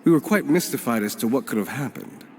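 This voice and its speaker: A man's voice: mid-range voice, expressive, quick pace, darkish tone, a little hiss or hum, close to an everyday voice. A man playing a guarded, cynical underworld figure in an anime game, dry and knowing in his delivery. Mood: wry, knowing.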